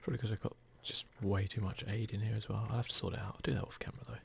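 A man giving whispered, relaxed ASMR commentary over gameplay, musing idly close to the microphone. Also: fairly low pitch, very fast, gravelly, a little hiss or hum. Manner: relaxed, idle musing to himself and viewers. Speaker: gaming channel host.

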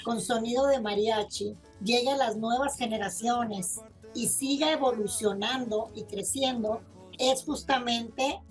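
A middle-aged Mexican woman reporting on a TV entertainment show, gossipy and animated, full of playful slang. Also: a little high. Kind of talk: lively TV entertainment chat. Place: TV studio. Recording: music bed under studio talk.

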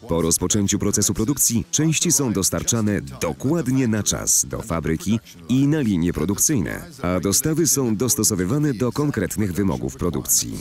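Man, low-pitched voice, expressive intonation, formal scripted corporate narration, articulate, faint background music. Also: moderate pace. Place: recording studio.

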